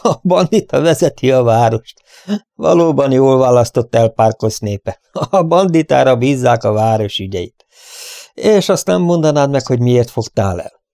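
Male narrator acting young western hero, proud, defiant, declamatory, raised pitch, gravelly edge, close dry sound. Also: very animated, dark tone, dry recording. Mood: scornful. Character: bold, proud young cowboy deputy, defiant and indignant.

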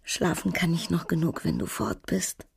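An actress playing an ailing, anxious and tender middle-aged mother of a soldier, husky and breathy, in a radio drama. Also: sits low, big pitch swings, moderate pace, heavily acted.

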